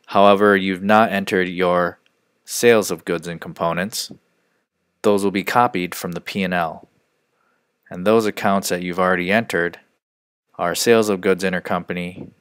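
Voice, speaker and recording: Deep voiced guy walking through software step by step, measured, really dry close sound. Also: fairly even intonation, clean tone, no room sound. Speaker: software trainer. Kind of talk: instructional screencast narration.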